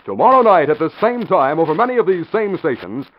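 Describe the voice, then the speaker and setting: A man's voice: on the high side, big pitch swings, fairly quick, over music. A man announcing and narrating from a script in an emphatic, polished 1940s radio style. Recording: dull lo-fi old radio recording.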